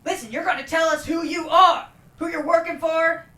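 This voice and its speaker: Woman as male police officer, aggressive, commanding, bright forceful tone, echoing room, script table read. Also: very high voice, fairly even intonation, normal speed. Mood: menacing, interrogating. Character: hard, aggressive male small-town police officer interrogating captives. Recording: roomy echo.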